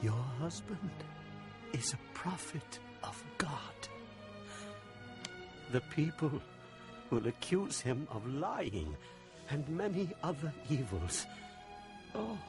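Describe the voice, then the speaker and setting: A man playing a grave religious scholar in an animated film, solemn and measured in his warnings. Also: on the high side, exaggerated voice. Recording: loud music behind the voice.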